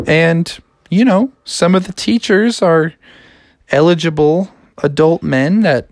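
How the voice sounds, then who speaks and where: A woman's voice: on the low side, slow pace, a little mellow, no room sound, clean studio sound. A woman voicing an easygoing, encouraging male frog in a bedtime story. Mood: friendly. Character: friendly helpful frog, male.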